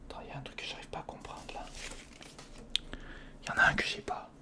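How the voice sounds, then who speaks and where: Whispering man doing casual ASMR. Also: fast. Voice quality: breathy whisper, mostly unvoiced. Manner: hesitant, muttering to himself while working, chatty asides to the viewer. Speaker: ASMR video host. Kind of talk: whispered ASMR build-along, casual and improvised.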